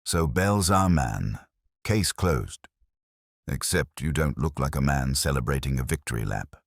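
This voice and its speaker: A man's voice: very deep voice, expressive, measured pace, no echo, studio quality, full character voice. A man voicing a streetwise detective's assistant with a sardonic, questioning manner, in an audiobook. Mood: sardonic, probing. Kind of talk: audiobook character dialogue.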